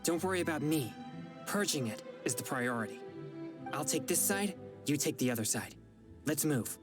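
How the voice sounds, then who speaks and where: A woman playing an earnest young male exorcist in an anime game, speaking in a formal, measured, righteous way. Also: low-pitched, lively intonation, over music. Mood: resolute.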